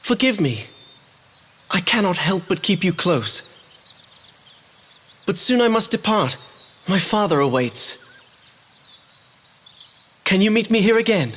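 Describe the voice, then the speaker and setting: High-voiced, lovestruck young prince. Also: male voice, big pitch swings, slow, pauses often, a bit bright, slightly rough, long reverb tail, full character voice. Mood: tender. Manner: courtly, earnest. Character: tender, lovestruck young prince, earnest and noble. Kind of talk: romantic fantasy game drama.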